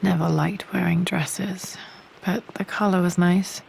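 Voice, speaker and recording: An actress playing a young English adventurer in a video game, softly musing to herself about her surroundings in a reflective way. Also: on the low side, steady tone, measured pace, gravelly, slight background noise, poor audio. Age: young adult. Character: young female adventurer, thoughtful, nostalgic about her family.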